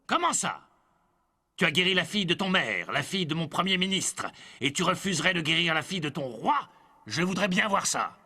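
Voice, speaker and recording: A blustering, impatient older ruler in a storybook cartoon. The voice is very sharp and forceful, gravelly with a rasp, and leaps about bossily. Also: male voice, sits high, sing-song, fairly quick, full character voice. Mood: outraged. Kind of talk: French animated storybook dialogue.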